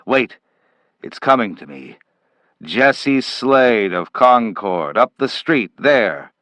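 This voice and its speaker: A man's voice: mid-range voice, very animated, slow, a bit bright, no echo. A man voicing a brusque, intense head of a time-travel agency, speaking emphatically and impatiently in a story reading.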